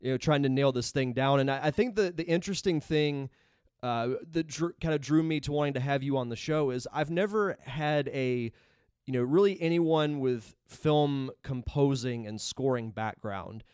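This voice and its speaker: Man, podcast host, smooth resonant voice, casual with fillers, dry sound. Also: medium pitch, normal speed, dry recording. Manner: relaxed, with frequent fillers. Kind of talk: casual interview chat.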